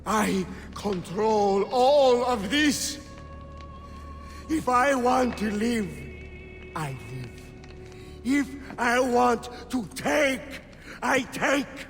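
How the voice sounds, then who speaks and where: A tyrannical young nobleman, arrogant and explosive. His delivery is slow and declamatory, with a sharp edge and wide swings in pitch. It sounds like a cutscene in a big echoing hall. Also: sing-song, creaky, heavily acted. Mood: menacing rage. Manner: imperious, declamatory, bursting into shouts. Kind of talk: dramatic video game cutscene acting. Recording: long hall reverb, faint music behind.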